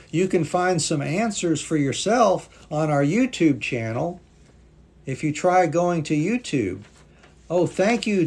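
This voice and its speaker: A man's voice: fairly high pitch, darkish tone, breathy edge. A native English-speaking man teaching English on a live stream, patiently reading viewer comments aloud and thanking them. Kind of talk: live-stream language class chat.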